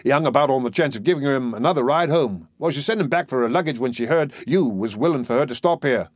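A man voicing an elderly working-class dustman in a play reading, expressive, rhetorical and wheedling. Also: mid-range voice, fast, dry recording, studio quality, pitched above the performer's own voice, natural voice. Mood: explaining. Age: older.

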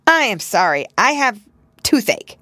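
A woman voicing a shy, polite young male pig in a storybook, slightly raised in pitch. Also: sing-song, a little slow, a bit bright, dry recording, exaggerated voice. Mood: nervous. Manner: polite, nervous.

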